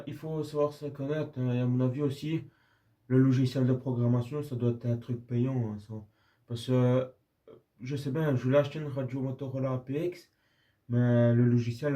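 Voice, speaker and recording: A man with a Belgian French accent chatting casually as a radio hobbyist on a two-way radio. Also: medium pitch. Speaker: amateur radio user and video maker. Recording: slightly dull lo-fi audio.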